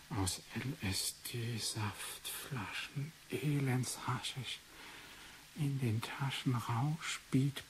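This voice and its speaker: An actor playing a pensive doctor looking into a suspicious death in a radio drama, speaking deliberately with weighty pauses. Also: male voice, lowish voice, rough recording. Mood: reciting. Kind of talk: 1980s German radio drama acting. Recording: hissy 1980s radio play recording.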